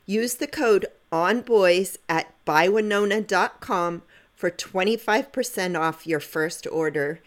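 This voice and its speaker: A woman co-hosting a podcast, reading a sponsor message with slow, deliberate delivery. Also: fairly low pitch. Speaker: podcast co-host. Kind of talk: reading an ad read.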